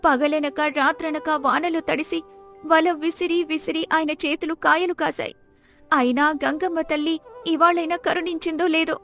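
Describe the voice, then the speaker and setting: Tearful high-voiced village wife, acted by a woman. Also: little pitch movement, measured pace, a bit bright, faint music behind. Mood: sorrowful, aching. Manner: tearful lamenting. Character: poor, hungry fisherman's wife, sorrowful and devoted. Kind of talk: dramatised moral story acting.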